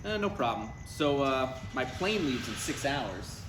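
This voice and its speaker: A man's voice: medium pitch, sing-song, measured pace. A man in his thirties playing an anxious, needy, defensive adult son in a live comedy table read, awkward and sarcastic. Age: 30s. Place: live reading in a room. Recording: roomy live recording with clear background noise.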